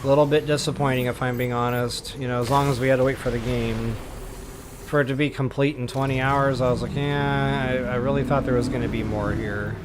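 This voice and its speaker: A man's voice: medium pitch, steady tone, fairly quick, background noise. A man doing casual live gameplay commentary as a streamer, rambling along with folksy slang. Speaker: game streamer.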